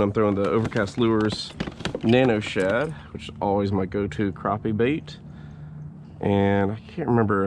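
A man's voice: lowish voice, a little hiss or hum. Laid-back man narrating from a kayak. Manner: relaxed, chatty, swears freely. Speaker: kayak fishing vlogger. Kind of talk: casual narration while fishing. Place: outdoors in a kayak on a swampy creek. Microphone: head-mounted action camera.

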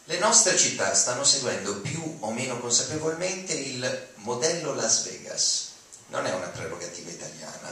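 A fairly low male voice rings through a very echoey hall, picked up from some distance with faint noise. The young man reads written prose aloud in a dramatic style. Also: a bit bright. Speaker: dramatic reader. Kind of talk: dramatic reading of essays aloud. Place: auditorium at a lectern. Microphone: lectern mic, recorded from audience.

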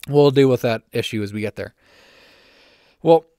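A young man hosting a solo church-history podcast from home, slightly raspy and talking fast with frequent self-corrections. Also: mid-range voice, dark tone, no echo, clean studio sound. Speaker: podcast host. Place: home room.

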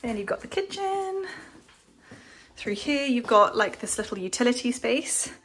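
A woman's voice: a little high, very animated, unhurried, fairly bright tone, slight background noise. Chatty young woman vlogging on phone. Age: young adult. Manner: chatty, candid, talks while walking around. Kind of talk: casual vlog narration. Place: empty house indoors, then outdoors on a street. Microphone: phone at arm's length.

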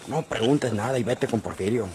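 A man's voice: medium pitch, fairly quick, background noise, lightly acted. An actor playing a curt, scheming countryman with a rural northern Mexican accent in an old radio serial. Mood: curt, mocking.

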